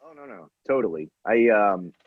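A man's voice: lowish voice, lively intonation, moderate pace, slightly rough, no echo, narrow, tinny audio. Laid-back, wry man musing in chat. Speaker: podcast co-host. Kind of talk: casual comedic chat.

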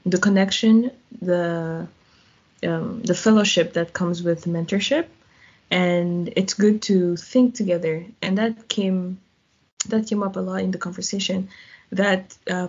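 Husky woman, chatty guest. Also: lowish voice, a little mellow, muffled recording. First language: French. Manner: chatty, laughs often, uses "like". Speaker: guest, volunteer. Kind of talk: casual interview answers.

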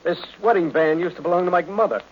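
A man playing an easygoing, gently mocking working man in his thirties, talking casually in a 1940s radio mystery. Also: high-pitched, quick pace, lightly acted. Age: 30s. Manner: casual, chuckling, needling. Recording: muffled, noisy old radio recording.

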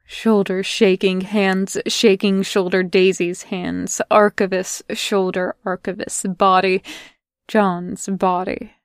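A woman reads a story in a slow, unhurried and hushed way, her voice clean and smooth. Her phrases come in broken fragments. She sits close to a dry mic with no room sound around her. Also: mid-range voice, flat delivery, dry recording. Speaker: podfic reader. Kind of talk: scripted fan fiction reading.

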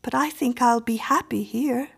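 A woman's voice: fairly high pitch, moderate pace, vocal fry, husky, voice pushed up. A woman voicing an eerie, self-assured little girl in an audiobook, sweetly imperious and precociously polite. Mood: pleased, presumptuous. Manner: composed, precociously polite, presumptuous. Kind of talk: audiobook character acting.

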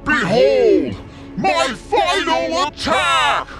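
An actor playing a giant evil dragon villain, bombastic and theatrical, ranting in an animated parody. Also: male voice, extremely high pitch, drawn-out words, heavily acted. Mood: menacing, triumphant. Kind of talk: over-the-top animated parody villain acting. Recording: loud music under the voice.

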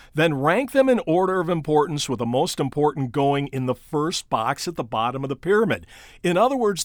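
Animated higher-voiced man coaching on a podcast. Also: no room sound, studio quality. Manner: emphatic coaching delivery with rhetorical questions. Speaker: podcast host and sports play-by-play broadcaster. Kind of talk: instructional podcast monologue addressed to aspiring broadcasters.

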